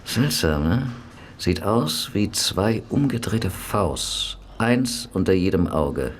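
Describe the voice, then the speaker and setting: A dry, grumbling pathologist in a radio play. He speaks in a fairly low voice with clinical detachment, over slight background noise. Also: very animated, takes it slowly, exaggerated voice. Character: matter-of-fact, grumbling male police pathologist. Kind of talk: German radio play acting.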